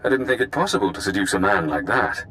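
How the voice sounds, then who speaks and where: An actor playing a cool, calculating imprisoned ex-spy in a video game, speaking in a measured, precise, formal way. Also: male voice, lowish voice, sing-song, sharp tone, a little hiss or hum. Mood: dry amusement.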